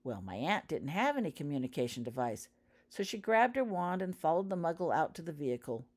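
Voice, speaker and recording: An eager schoolgirl witch , read by a woman, tells tales in a storybook style. Her melody is animated and varied. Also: low voice, a little fast. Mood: storytelling. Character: schoolgirl witch eagerly recounting family anecdotes.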